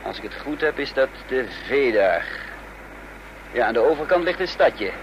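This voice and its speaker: Een acteur die in een hoorspel een vastberaden voortvluchtige dubbelganger speelt, bedachtzaam sprekend en dan weer bevelend. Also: male voice, mid-range voice, expressive, normal speed, muffled recording, lightly acted. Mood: pensive. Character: resolute male fugitive impostor leading his companions. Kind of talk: radio drama acting. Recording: noisy old radio play recording.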